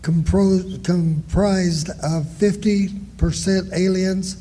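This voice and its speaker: A man's voice: high-pitched, fairly even intonation, takes it slowly, dark tone. An actor playing an unrepentant, self-justifying county sheriff in a radio play, declaiming his words. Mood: defiant. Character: unrepentant, defiant male county sheriff on trial. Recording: faint background noise, live stage recording.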